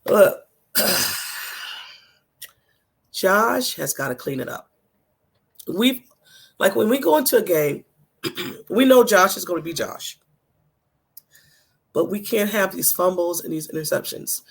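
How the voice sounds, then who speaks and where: A co-host in a relaxed sports chat whose voice is hoarse and nearly gone. The sound is gravelly and rough, and the opinions come out blunt and emphatic. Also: mid-range voice, takes it slowly, long pauses.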